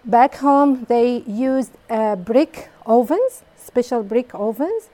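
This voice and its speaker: A woman from Iraq leading a hands-on bread-making workshop, explaining steps in simple, halting English with fillers. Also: a little high, expressive, dark tone. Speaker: baking workshop teacher. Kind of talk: hands-on cooking demonstration. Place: community hall kitchen.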